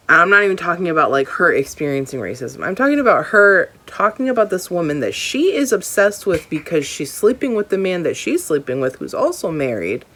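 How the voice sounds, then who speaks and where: Low-voiced, emphatic woman book vlogger. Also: a bit bright. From Hawaii. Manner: emphatic, opinionated, repeats phrases. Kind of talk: casual book review.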